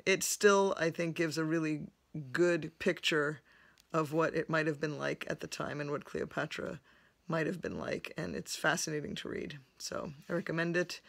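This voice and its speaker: A woman from Lebanon hosting a book review vlog from her home library, speaking in a thoughtful, measured way with frequent pauses. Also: deep voice, fairly bright tone. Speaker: host.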